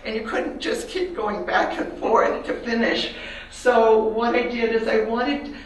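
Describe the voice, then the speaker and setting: An older woman with a Canadian accent speaks reflectively to an audience about her art, pausing now and then with fillers. Her voice sits at a middle pitch. She is heard from a distance in a very echoey hall, with faint room noise behind her. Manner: reflective, personal, pauses with fillers. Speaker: artist giving a talk. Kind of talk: slide talk to an audience.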